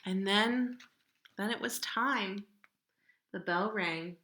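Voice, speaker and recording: Bright, expressive woman reading in an echoey room. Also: mid-range voice, slow pace. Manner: expressive and dramatic, drawing out key words. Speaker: storybook reader. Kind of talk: children's picture book read-aloud.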